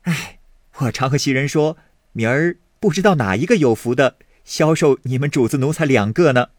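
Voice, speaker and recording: A man's voice: medium pitch, normal speed, dry recording, voice pushed up, lightly acted. A man voicing an eager, teasing young nobleman, in a dry close recording.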